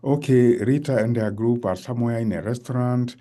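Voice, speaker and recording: Mellow-voiced male interview host. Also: mid-range voice, brisk. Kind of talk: interview chat.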